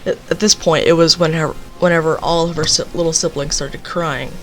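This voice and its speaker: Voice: medium pitch, fairly even intonation, a little fast, fairly bright tone, soft background music, rough recording. A young person telling a casual, rambling story, leaning on filler phrases. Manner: rambling, repeats filler phrases like "basically" and "you know".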